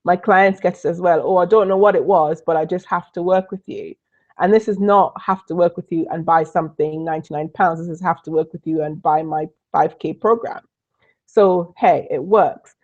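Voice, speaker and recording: A woman from Trinidad with a Trinidadian accent mixed with British tones, giving a lively live online business training and repeating key points for emphasis. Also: on the low side, moderate pace, lo-fi sound. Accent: Trinidadian, mixed with British and Northern Irish. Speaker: business coach.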